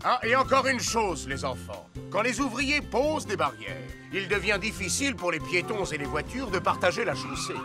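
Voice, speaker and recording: Kind cartoon rescue car, high and lively, explaining safety. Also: male voice, normal speed, hardly any pauses. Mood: instructive. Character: friendly rescue car, caring safety teacher. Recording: cartoon soundtrack with music.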